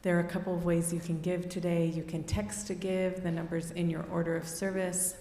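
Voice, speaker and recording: A woman reading offertory announcements at a worship service in a steady, read-aloud way. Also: lowish voice, a little fast, a little hiss or hum. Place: church sanctuary. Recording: long hall reverb.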